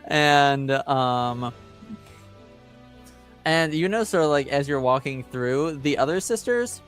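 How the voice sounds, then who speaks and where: Casting: male game master, slow, halting, casual delivery, mid-range voice, faint music behind. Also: mid-range voice, animated, a bit bright. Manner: casual, halting, searching for words. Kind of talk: improvised tabletop game narration.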